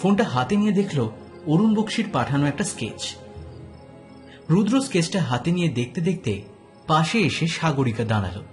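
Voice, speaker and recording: A man's voice: a little high, darkish tone. A man narrating a scripted detective story in a dramatic style.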